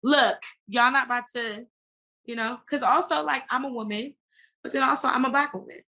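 A woman's voice: high voice, normal speed, pushed and bright. An American woman talking casually as an interview guest, with an emphatic, slangy way of speaking. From United States.

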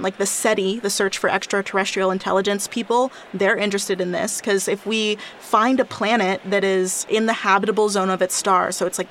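A chatty woman in her twenties, co-hosting a relaxed science podcast. She explains things playfully, full of likes and ums. A faint hiss sits behind her voice. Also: mid-range voice. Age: 20s. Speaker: podcast co-host. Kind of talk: casual science podcast chat.

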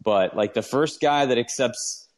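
A man's voice: medium pitch, measured pace. A man co-hosting a sports podcast, giving opinions casually and emphatically and repeating his points.

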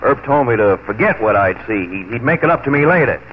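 Crooked frontier lawman male actor, radio drama: mid-range, deliberate, emphatic delivery, faintly hissy old broadcast. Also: medium pitch, animated, lightly acted. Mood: solemn, accusing. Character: crooked frontier sheriff giving self-serving sworn testimony. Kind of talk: 1940s radio drama acting. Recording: muffled, noisy 1940s radio broadcast.

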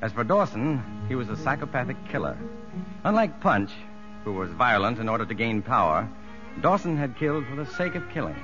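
A firm but humane American prison doctor, acted by a man, mid-pitched with expressive rise and fall. He speaks in measured, educated, authoritative phrases. It sounds like a muffled vintage radio drama. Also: over music, lightly acted. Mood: reflective. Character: firm, humane male prison doctor, first-person narrator. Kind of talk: 1950s radio drama acting and narration.

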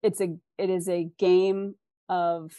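Candid, encouraging woman, slightly low, dry sound. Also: little pitch movement, slow, mellow, no echo. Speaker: interview guest, author and freelance writer. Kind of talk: conversational interview, giving advice.

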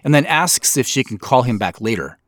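A man's voice: medium pitch, lively intonation, moderate pace, a bit bright. A man narrating a director's commentary as host, explaining his creative work in a reflective way. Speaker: podcast host, writer and producer.

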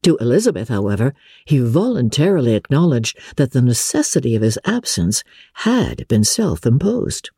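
A woman narrating classic literature as a dramatic reading, expressive, measured and articulate. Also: rumbling low, husky, dry recording, studio quality. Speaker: audiobook narrator.

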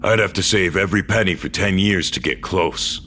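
A world-weary old cyborg man in a science-fiction audio drama. His voice sits very low, and he talks bluntly with gruff, dry wit. A faint bed of noise lies behind him. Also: moderate pace, sharp tone. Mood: resigned, wry. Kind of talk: audio drama dialogue and first-person narration.

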